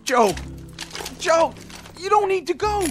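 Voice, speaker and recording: An actor playing a frightened young Confederate private who whines and pleads nervously in an old radio drama. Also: male voice, extremely high pitch, expressive, slow pace, music in the background, full character voice. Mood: pleading. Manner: jittery, whining and pleading. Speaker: private soldier. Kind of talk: 1960s radio drama acting.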